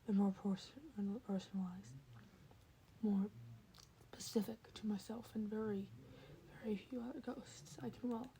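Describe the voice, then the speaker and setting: Woman as a nervous young hero, soft and very mellow. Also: mid-range voice, fairly even intonation, a little hiss or hum, natural voice. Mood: hesitant. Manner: halting, unsure, self-conscious. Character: nervous, hesitant young male hero with ghost powers. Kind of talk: fan fiction podfic character voice.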